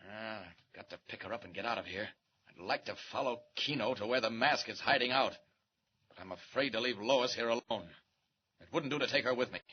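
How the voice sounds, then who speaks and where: An actor playing a calm, wry male reporter who is secretly a superhero, in a 1940s radio serial. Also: mid-range voice, a little fast, breathy edge, close to an everyday voice. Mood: determined. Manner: calm, wry and self-assured. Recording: muffled old radio recording with hiss.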